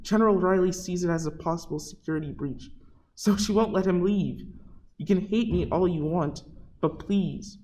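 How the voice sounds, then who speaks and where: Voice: medium pitch, moderate pace, darkish tone, a little hiss or hum, close to an everyday voice, rough recording. A performer playing an apologetic scientist father in a radio play, earnest, pleading and conciliatory. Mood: explaining.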